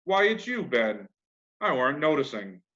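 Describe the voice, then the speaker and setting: A man's voice: mid-range voice, sing-song, normal speed, pushed and bright, highs cut off. A man reading a storybook, voicing a crafty young country boy with a folksy, casually indifferent manner. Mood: nonchalant. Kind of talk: children's classic storybook reading.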